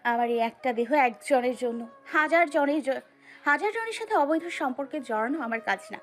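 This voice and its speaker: A woman playing a frightened but defiant young bride-to-be in a romantic audio story, pleading in a tearful, halting manner. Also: high voice, lively intonation, fairly bright tone, soft background music. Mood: hurt, defiant.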